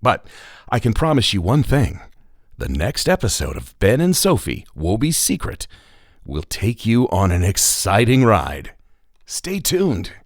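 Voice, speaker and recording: A man's voice: on the low side, wildly swooping pitch, a little slow. A man narrating a scripted children's podcast as storyteller host, playfully addressing listeners directly.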